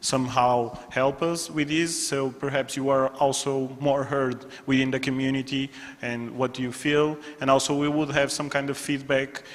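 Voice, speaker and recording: A man's voice: mid-range voice, little pitch movement, normal speed, hardly any pauses. A man presenting a student project at a conference, speaking evenly with some hesitant fillers. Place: conference hall. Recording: long hall reverb.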